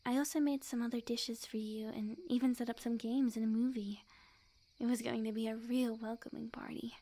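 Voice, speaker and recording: A sweet yandere witch acted out by a woman for an ASMR roleplay, young, clingy and lovesick. Her voice sits fairly high but stays mellow and soft, often breathy and close to a whisper. She speaks intimately, with coy, earnest devotion. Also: flat delivery, fairly quick. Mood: tender, hopeful.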